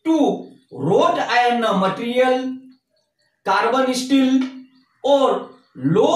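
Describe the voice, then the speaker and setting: A middle-aged man teaching welding at a whiteboard, explaining slowly and methodically with lots of repetition, on a lapel mic indoors. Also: extremely high pitch. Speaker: welding instructor. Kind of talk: whiteboard lesson. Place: indoor room in front of a whiteboard. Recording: very echoey room, distant mic.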